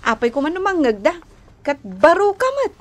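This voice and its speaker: A high-voiced, teasing young Filipina colleague. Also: female voice, very animated, normal speed. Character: playful, teasing young female office colleague. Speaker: radio drama actor. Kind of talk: Ilocano radio drama acting.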